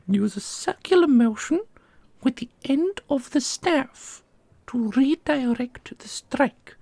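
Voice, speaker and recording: A woman playing an ancient custodian and combat mentor in an ASMR roleplay, doting and sharply sarcastic, with a husky, creaky voice. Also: sits high, fairly bright tone, dry recording, heavily acted. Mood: encouraging. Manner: theatrical, teasing, instructive. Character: millennia-old custodian mentor, doting on pupil, witheringly sarcastic.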